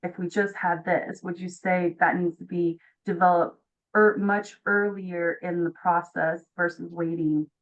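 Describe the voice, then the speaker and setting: A woman's voice: fairly low pitch, little pitch movement, a little slow. Muffled, informal woman on a call. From Tonga. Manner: enthusiastic, informal. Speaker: audience member asking a question. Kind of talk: casual spontaneous question. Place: online video call. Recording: muffled online call audio.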